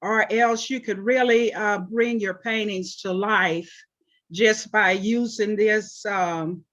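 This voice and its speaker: A woman in her seventies, originally from Oklahoma, answering interview questions about her life in an unhurried way with frequent pauses. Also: medium pitch, steady tone, a bit bright, lo-fi sound. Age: 70s. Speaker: interview guest, artist.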